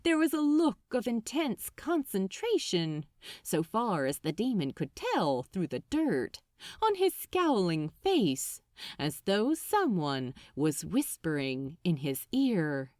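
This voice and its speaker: A woman narrating scripted fiction dramatically, with theatrical, deliberate, suspenseful phrasing. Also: mid-range voice, very animated, a little mellow, no room sound, studio quality. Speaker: audiobook narrator.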